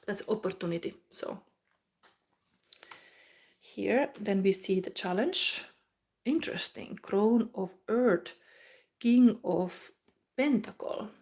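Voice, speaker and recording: The Finnish woman you would find in an online tarot video, thinking aloud card by card, groping for words in halting second-language English. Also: mid-range voice, darkish tone. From Finland. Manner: rambling, searches for words, halting non-native English. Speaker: tarot reader hosting a psychic reading. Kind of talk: casual spontaneous tarot reading to viewers.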